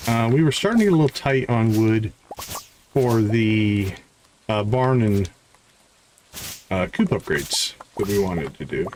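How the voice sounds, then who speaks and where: A man's voice: fairly low pitch, animated, a little slow, pauses often, a little mellow, slight background noise, poor audio. A man doing casual live commentary as he plays a game, chatting in a friendly way with viewers. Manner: friendly, greets viewers by name. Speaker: livestream host playing a farming game.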